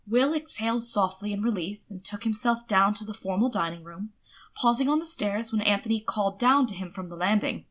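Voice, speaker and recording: A woman narrates a piece of fiction in an expressive, scripted audiobook style. Her voice is bright and forward. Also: mid-range voice, fairly quick.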